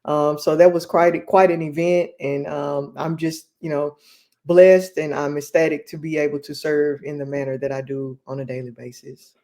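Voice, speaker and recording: A woman answering interview questions in an earnest, measured way. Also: low voice, little pitch movement, dark tone. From Texas. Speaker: guest, athletic director.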